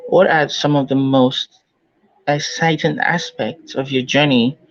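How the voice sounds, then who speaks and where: A man hosting an interview, putting questions and appreciative remarks deliberately, with "you know" dropped in often. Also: medium pitch, fairly even intonation. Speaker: interviewer, host. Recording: dull lo-fi audio.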